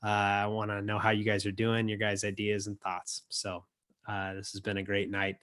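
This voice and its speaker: A man's voice: fairly low pitch, completely flat delivery, moderate pace, a bit bright, clean tone. Man hosting an online poetry reading. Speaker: emcee and book editor. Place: video call. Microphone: video call microphone.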